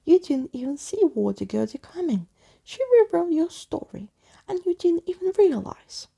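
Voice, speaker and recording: Woman putting on a bossy, creaky-voiced sneering girl. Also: very high-pitched, big pitch swings, moderate pace, warm tone, pitched above the performer's own voice, exaggerated voice. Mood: scornful. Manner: scornful, snappy, insulting. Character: bossy, sneering, mischievous young storybook girl with a temper.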